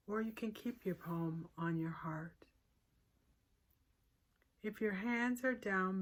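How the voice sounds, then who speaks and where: Slow-paced woman guiding a meditation. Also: fairly low pitch. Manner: unhurried, pausing between short cues. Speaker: guided meditation teacher. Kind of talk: guided meditation instruction.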